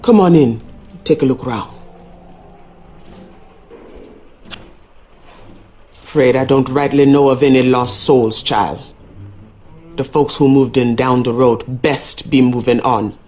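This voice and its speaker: An actor playing a canny, mysterious voodoo shopkeeper in a video game, folksy and unhurried, with a Southern US accent. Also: male voice, medium pitch, fairly even intonation, a little mellow, lightly acted. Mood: welcoming, then ominous. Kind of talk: video game character acting.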